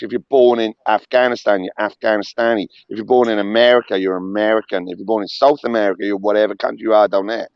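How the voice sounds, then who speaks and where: A man's voice: medium pitch, dry recording. A young man in a heated voice-chat argument, pushing his point hard and talking over the other man. His audio is dull and lo-fi, with a dry, close sound and no room around it. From Wales. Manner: insistent, talks over the other man, repeats himself.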